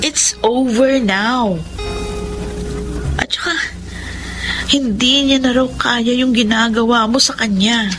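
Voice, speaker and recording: Slow, earnest, pleading friend. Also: female voice, a little high, fairly even intonation, poor audio. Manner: earnest, persuasive. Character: concerned, pleading female friend urging reconciliation. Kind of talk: Tagalog radio romance drama acting. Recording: music bed under the voice.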